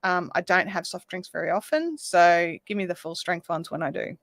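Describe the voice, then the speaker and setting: A woman hosting a craft livestream, chatting casually and reading out viewer comments while she crochets, her voice slightly raspy. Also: lowish voice, fairly even intonation, normal speed, dry recording, clean studio sound. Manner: chatty, reads viewer comments aloud, counts stitches under her breath. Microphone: desk microphone.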